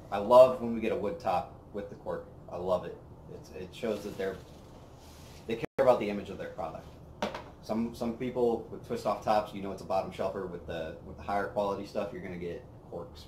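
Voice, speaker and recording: A young man hosting a whiskey review, chatting casually on an outdoor patio, relaxed and rambling with plenty of fillers. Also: low voice, normal speed, background noise. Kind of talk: casual tasting chat. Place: outdoor patio by a brick wall. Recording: roomy outdoor sound with faint ambience.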